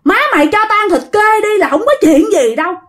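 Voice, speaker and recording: A woman's voice: very high-pitched, animated, moderate pace, fairly bright tone, pitched above the performer's own voice. A woman voicing a cruel, demanding spirit that possesses a man, threatening crudely and repeating itself in a Southern Vietnamese accent. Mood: menacing.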